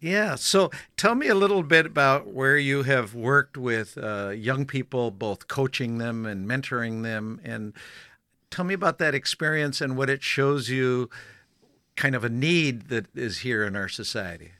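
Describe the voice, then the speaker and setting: A middle-aged man who spoke German first and grew up in Canada, hosting an interview, talking haltingly with many restarts and fillers. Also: medium pitch, sharp tone, dry recording, studio quality. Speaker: host. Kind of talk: conversational interview.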